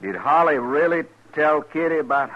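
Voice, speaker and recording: A man's voice: a little high, expressive, normal speed, lightly acted. An actor playing a humble, puzzled frontier man in a 1950s radio western, folksy, hesitant and deferential. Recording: muffled 1950s radio recording.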